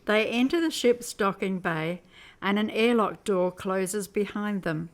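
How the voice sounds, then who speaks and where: A woman's voice: mid-range voice, expressive, a little slow. A woman reading scripted, descriptive narration for an amateur radio play.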